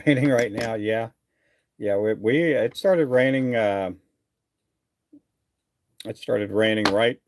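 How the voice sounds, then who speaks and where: Relaxed gravelly middle-aged man chatting. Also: fairly low pitch. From Texas. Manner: easygoing, rambling with many uh fillers. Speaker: fishing livestream host. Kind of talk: casual live chat reading viewer comments.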